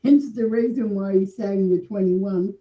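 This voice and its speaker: A woman's voice: medium pitch, measured pace, warm tone, muffled recording. A woman in her forties chatting casually and teasing her friends. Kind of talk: casual chat.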